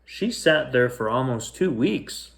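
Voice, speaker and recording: A man's voice: mid-range voice, lively intonation, measured pace, creaky, fairly bright tone. A man narrating a children's storybook as a bedtime reader, telling it phrase by phrase.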